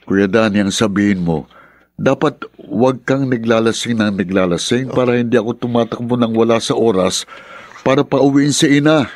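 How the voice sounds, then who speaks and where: A man's voice: medium pitch, moderate pace, a little mellow, no echo, lightly acted. A man playing an exasperated, practical friend of a drunkard in a radio drama, plain-spoken and nagging.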